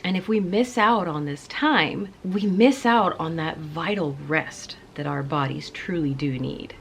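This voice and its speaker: A woman's voice: sits low, a little slow, gravelly, slight background noise. A woman host chatting playfully to camera with cozy enthusiasm, her voice a little off because she is coming down sick, sometimes dropping into a spooky whisper. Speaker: channel host. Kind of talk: cozy casual chat to camera.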